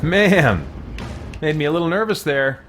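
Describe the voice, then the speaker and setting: A man's voice: fairly high pitch, lively intonation, unhurried, very noisy. A man doing casual gaming commentary as a let's-play host, thinking aloud in a conversational way. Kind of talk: casual gaming commentary, answering viewer questions.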